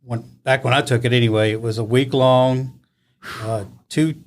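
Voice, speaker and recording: Relaxed middle-aged man, understated. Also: mid-range voice, normal speed. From born in Italy, raised in the US. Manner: relaxed, understated, brief answers. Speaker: guest, criminal defense lawyer. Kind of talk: casual interview answers.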